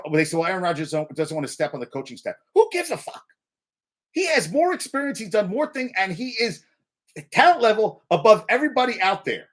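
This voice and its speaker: Heated, lively-voiced man ranting about sports. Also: sits high. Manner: heated, profane, rambling with frequent restarts; addresses viewers in live chat by name. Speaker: sports talk show host. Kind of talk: casual sports rant.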